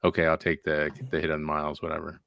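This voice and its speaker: A man with a very deep, gravelly voice and a flat delivery chats casually on a podcast. His tone is bright and forward, and the sound is dry and close. He comments in a laid-back, offhand way. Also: fast talker, no echo. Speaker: podcast co-host. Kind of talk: casual news discussion podcast.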